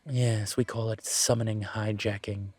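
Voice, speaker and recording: Low, measured, courteous demon investigator played by a man. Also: level tone, darkish tone, slightly rough. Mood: explanatory. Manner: measured, considerate, hesitant pauses. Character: calm, courteous male incubus demon professor and investigator. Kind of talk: scripted audio roleplay, intimate one-sided conversation.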